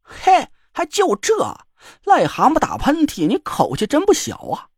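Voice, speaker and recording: Man playing a teasing middle-aged joker, bantering drawl, raised lively pitch, dry close sound. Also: measured pace, no echo, heavily acted. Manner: bantering, mock-boastful, drawling.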